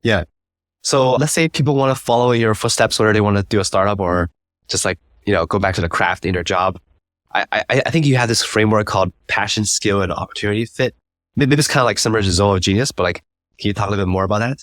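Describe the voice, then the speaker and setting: A man with a lowish voice hosts a casual interview. He talks quickly and informally, phrasing things loosely. The recording is very dry. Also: a bit bright, no echo. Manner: informal, frequent "like" and tag questions. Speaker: interview host. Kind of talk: casual chat.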